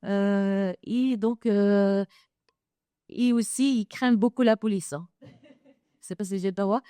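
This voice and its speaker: A woman working as an educator talks on a panel, pausing often and restarting her sentences. Her voice is mellow and smooth, heard dry and close, and she speaks slowly. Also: medium pitch, fairly even intonation, dry recording. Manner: hesitant, many fillers and restarts. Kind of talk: panel discussion. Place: conference room.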